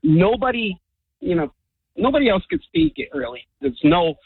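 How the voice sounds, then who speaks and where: A man calls in to a radio show, born in the United States with Hungarian as his first language. His voice sits high and comes through a narrow, tinny phone line. He talks softly and hesitantly, in a casual way. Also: very animated, moderate pace, gravelly, slightly rough, no echo. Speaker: radio caller.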